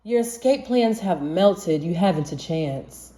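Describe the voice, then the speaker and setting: Slow, animated woman reading a storybook. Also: fairly low pitch, poor audio. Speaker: children's book reader. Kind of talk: storybook read-aloud, rhyming narration.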